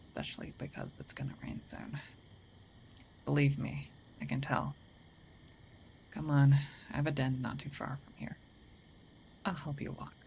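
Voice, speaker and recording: A woman playing a gruff but caring, territorial werewolf in an intimate ASMR roleplay, hesitant and often dropping into breathy half-whispers. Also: very deep voice, little pitch movement, a little slow, pauses often, darkish tone, exaggerated voice, rough recording. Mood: reassuring. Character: gruff female werewolf, territorial yet caring, awkward protector.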